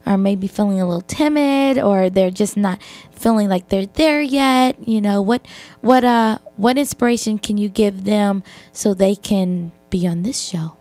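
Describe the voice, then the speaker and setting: A woman's voice: mid-range voice, unhurried, hardly any pauses, darkish tone, no echo. A woman hosting a radio interview, upbeat and giggly. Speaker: radio show host.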